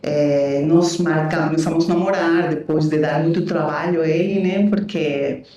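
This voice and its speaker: A woman's voice: deep voice, steady tone, normal speed, far from the mic. A woman from Bolivia speaks Portuguese with a Spanish accent and tells her story with many small fillers. She sits well back from the mic, and the room around her echoes strongly. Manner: warm storytelling, frequent "né" and "eh" fillers. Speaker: guest, leadership mentor. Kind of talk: podcast interview.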